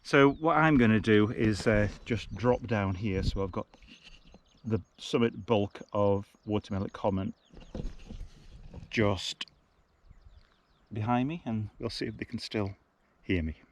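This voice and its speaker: A man's voice: fairly low pitch, a little slow, long pauses, a little hiss or hum. A man giving a casual, practical outdoor field-test commentary, speaking plainly as a hiking vlogger. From United Kingdom. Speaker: hiking vlogger and gear tester. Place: outdoors on open hills.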